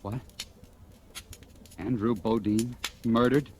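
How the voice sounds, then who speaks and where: A man's voice: medium pitch, steady tone, slow pace, a little mellow, close to an everyday voice. An actor playing a nervous, down-on-his-luck man in a 1940s radio drama, speaking hesitantly with stammers. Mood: alarmed, confused. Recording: noisy old 1940s radio recording.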